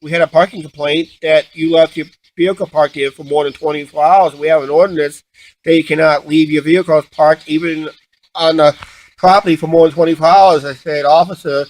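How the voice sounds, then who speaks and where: Halting man, slightly high-pitched. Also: darkish tone, no echo, poor audio. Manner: halting, repetitive, restarts sentences often. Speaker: vlog co-host. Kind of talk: casual unscripted storytelling.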